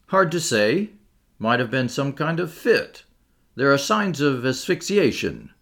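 Casual male doctor for audiobook, narrator-acted, clipped, brisk phrases with varied pitch. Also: big pitch swings, fairly bright tone. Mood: matter-of-fact.

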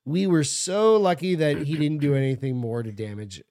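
Male podcast co-host, American, brash and sweary storyteller, somewhat high voice, dry close sound. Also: moderate pace, a little mellow, clean tone, no room sound. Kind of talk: casual banter and storytelling.

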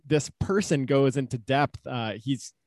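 A man co-hosting a casual podcast, relaxed and joking, with a lot of ums and uhs. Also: medium pitch, a little slow, dry recording, studio quality. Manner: relaxed, joking, many fillers. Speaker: podcast co-host, actuary. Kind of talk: casual chat.

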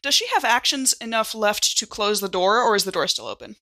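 A woman's voice: mid-range voice, sing-song, fairly quick, pushed and bright, no echo, clean studio sound. A woman chatting casually as a player in a tabletop role-playing game, thinking aloud in an informal way.

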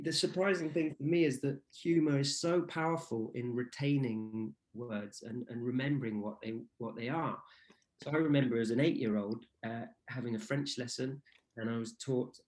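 A man's voice: medium pitch, a little mellow, low-quality recording. A man, co-hosting a casual chat and telling a playful, rambling story as the show's language guru. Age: 30s. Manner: anecdotal, with restarts and playful asides.

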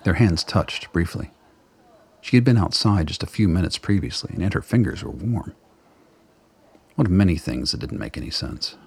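A man narrates a scripted radio drama in an extremely deep voice. It is gravelly and husky, with measured, dramatic storytelling. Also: lively intonation, a little mellow.

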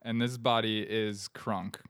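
A man's voice: lowish voice, flat delivery, slow pace, fairly bright tone, smooth, dry recording, clean studio sound. A man in his thirties, a comedian guest on a podcast, rambling in a self-deprecating, mock-earnest way. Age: 30s.

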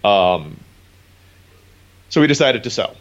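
A man in his thirties, a guest entrepreneur telling his story in a relaxed, rambling way with many fillers. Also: fairly low pitch, takes it slowly, dull audio.

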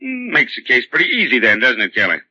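A man's voice: medium pitch, huge pitch swings, quick pace, sharp tone, slightly rough, dry recording, heavily acted. An actor playing a brusque, eager policeman in a 1940s radio drama. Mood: puzzled, keen. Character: brusque policeman, eager rookie arson investigator. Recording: noisy, muffled vintage radio recording.

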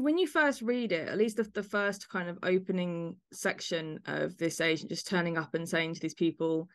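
Lower-pitched woman podcast host, close and dry. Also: dry recording. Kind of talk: interview chat about a play.

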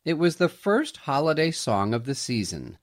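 Expressive male storyteller with lively intonation. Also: fairly high pitch, normal speed. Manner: expressive read-aloud storytelling. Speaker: storyteller, podcast host. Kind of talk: scripted narration of a Christmas story.

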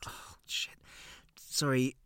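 A man's voice: slow, a bit bright, no room sound, studio quality. An actor playing an anxious man who is too keen to impress on a date, hesitant, flustered and apologetic in a naturalistic audio drama. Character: anxious, over-eager man trying to impress on a first date.

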